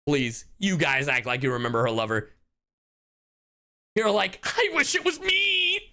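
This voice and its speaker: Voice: mid-range voice, very animated, a little slow, pushed and bright. A streamer giving casual live commentary in an exasperated, slangy, profanity-heavy way. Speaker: streamer reacting to game voice lines.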